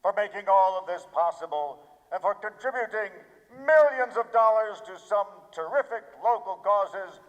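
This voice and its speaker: A man playing a pompous city mayor giving a drawn-out ceremonial speech in a radio play. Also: sits high, expressive. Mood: grandly ceremonial. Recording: dull echoey hall public-address sound.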